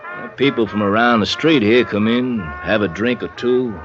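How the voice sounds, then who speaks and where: An actor playing a laconic, world-weary bar worker in a radio drama, evasive and resigned. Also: male voice, lowish voice, normal speed, lightly acted. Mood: guarded, resigned. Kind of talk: 1950s radio acting. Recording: dull old radio recording, faint music. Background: faint music.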